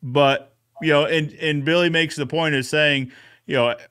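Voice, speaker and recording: A man with a slightly high-set voice walks through a story as co-host of a recap. He talks informally, in the manner of a casual chat between colleagues. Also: fairly even intonation, measured pace, fairly bright tone, smooth. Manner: informal, retells characters' dialogue.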